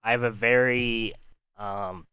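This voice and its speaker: Calm-voiced man hosting a weather stream. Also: medium pitch, slow pace, clear, resonant tone, no echo. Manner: calm, rambling, talks to chat viewers. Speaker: livestream weather host. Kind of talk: unscripted live weather stream.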